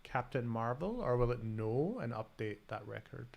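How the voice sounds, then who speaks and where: Scottish man with a gravelly voice. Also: mid-range voice, expressive, normal speed, dull audio. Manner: self-deprecating, thinks aloud while typing. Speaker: host. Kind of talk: casual live-coding chat.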